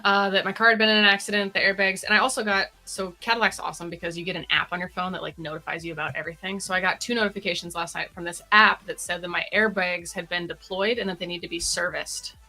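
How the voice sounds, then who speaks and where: A woman co-hosting a vlog, telling a personal story in casual, informal and animated chat. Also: on the low side, fast talker, hardly any pauses, low-quality recording. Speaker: vlog co-host.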